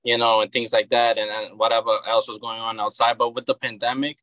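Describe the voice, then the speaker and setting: A man's voice: medium pitch, level tone, a little fast, bright, forceful tone, no room sound, poor audio. A man in his thirties from Brazil with a Brazilian Portuguese accent, a guest talking casually in an interview and often repeating words as he restarts. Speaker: guest, comic book creator.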